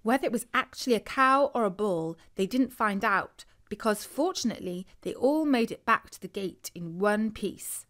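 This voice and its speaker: A woman's voice: medium pitch, animated, moderate pace, few pauses, fairly bright tone. A woman with a British accent narrating a scripted bedtime story for children.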